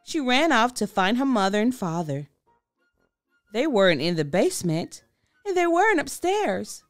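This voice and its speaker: A woman reading a children's book aloud with expressive, deliberate storytelling. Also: fairly high pitch, no room sound, soft background music. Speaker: storybook reader. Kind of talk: children's read-aloud narration.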